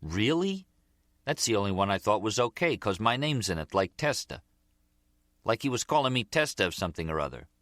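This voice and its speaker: Man playing a whiny, eager mobster underling, pitched up. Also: big pitch swings, brisk, a bit bright, no room sound, clean studio sound. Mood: puzzled. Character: eager, resentful young mob underling Nicky, grumbling.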